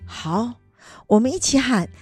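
A woman acts as an old grandmother who loves her studies. She is modest yet eager and talks in a chatty, earnest way. Her tone is mellow, with light music around this children's radio play. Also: a little high, lively intonation, unhurried, slightly rough, no room sound. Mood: elated. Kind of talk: children's educational radio drama acting.

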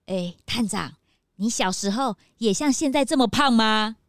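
A woman voicing a curious, excitable young male sidekick in a kids' audio drama, eager and chatty. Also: fairly high pitch, moderate pace, dry recording, clean studio sound.

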